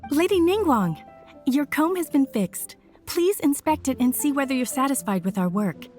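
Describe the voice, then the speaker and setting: Young female shop clerk, high voice, swooping intonation, deferential, careful, video game dialogue. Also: lively intonation, normal speed, darkish tone, soft background music. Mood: polite, apologetic.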